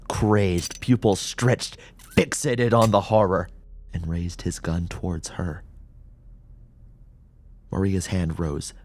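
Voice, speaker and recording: A man's voice: low voice, darkish tone, a little hiss or hum. A man reading horror fiction as a dramatic, suspenseful narrator who often drops toward a whisper.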